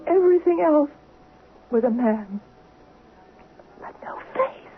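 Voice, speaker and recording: An actress playing a fragile, frightened wife in a 1970s radio drama, with halting, breathless, dramatic delivery on a muffled old recording. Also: female voice, high-pitched, animated, noisy background. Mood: terrified, tearful. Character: frightened wife recounting a nightmare, soft and fragile.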